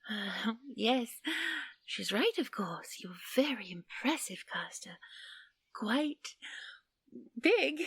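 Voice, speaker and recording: A woman playing a playful, polite fey princess in a fantasy audio roleplay, a little hesitant and impertinent, with a breathy voice. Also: a little high, sing-song, very slow, long pauses, a bit bright, no room sound, heavily acted. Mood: admiring, playful. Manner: hesitant, courteous, prone to blurting.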